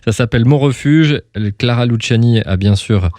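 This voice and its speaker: A man's voice: medium pitch, normal speed, darkish tone, no room sound. A man hosting an informal radio interview, chatty and rambling, often jumping between half-finished phrases. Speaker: radio host interviewing a guest.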